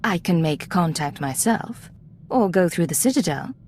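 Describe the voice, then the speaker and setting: An actress playing a composed, dutiful, coolly suspicious Imperial agent in a video game, formal and controlled. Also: female voice, fairly low pitch, animated, moderate pace, a little mellow, a little hiss or hum, natural voice. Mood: cool, skeptical.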